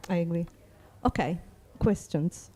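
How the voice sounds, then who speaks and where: A woman moderates the questions in a brisk, agreeable voice. Clear noise and clicks run through the recording. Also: fairly low pitch, warm tone.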